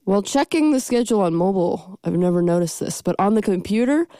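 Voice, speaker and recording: A woman's voice: mid-range voice, expressive, quick pace, warm tone, no echo. A young woman with a stuffy cold, hosting a college radio show in casual, rambling chat from a studio. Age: young adult. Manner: rambling, self-deprecating, many ums.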